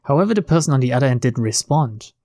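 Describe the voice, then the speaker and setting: A man reading a visual novel aloud as narrator, in an expressive, dramatic storytelling style. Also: mid-range voice, fast, dry recording. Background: faint game music.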